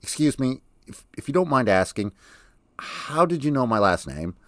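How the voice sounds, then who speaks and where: Man voicing polite young woman, pitched up. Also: very animated, moderate pace, a bit bright, dry recording, clean studio sound. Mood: confused, apologetic. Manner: polite, questioning. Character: young woman, polite, inquisitive, a little flustered.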